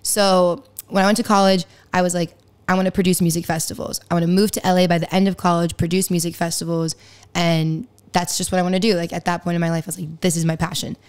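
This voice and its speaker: Young woman in her twenties, raspy but smooth, chatty podcast guest. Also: on the low side, flat delivery, fast. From Pittsburgh area, US. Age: 20s. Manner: chatty, lots of likes and laughs. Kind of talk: casual interview chat.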